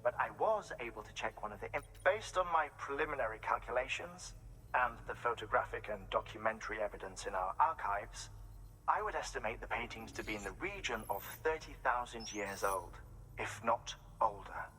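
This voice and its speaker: An actor playing an eager professorial scientist in a video game, lecturing in an articulate, theatrical way over a radio call. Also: male voice, mid-range voice, expressive, normal speed, pushed and bright, a little hiss or hum, rough recording. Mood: absorbed, lecturing. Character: eager, professorial male scientist delivering theories.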